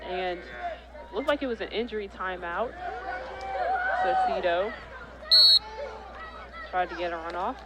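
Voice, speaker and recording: A man's voice: high-pitched, a little slow, long pauses, rough recording. A man doing play-by-play for a college football game from a stadium press box, explaining each play at length. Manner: animated, explains the defense at length. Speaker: play-by-play commentator. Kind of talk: live football commentary. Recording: loud crowd and stadium noise. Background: loud crowd.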